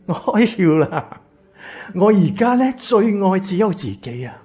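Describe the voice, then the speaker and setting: An actor playing a wounded, embittered rich man in a Cantonese radio drama, with a Hong Kong accent and a breathy, husky voice. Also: high voice, very animated, unhurried, darkish tone, heavily acted. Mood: bitter, anguished. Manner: intense, dramatic. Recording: music behind the voice.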